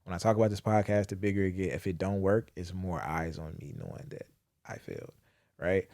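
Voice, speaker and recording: Candid low-voiced host, dry close sound. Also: male voice, a little fast, a little mellow, dry recording. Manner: candid, profane, reflective storytelling. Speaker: podcast host. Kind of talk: casual chat.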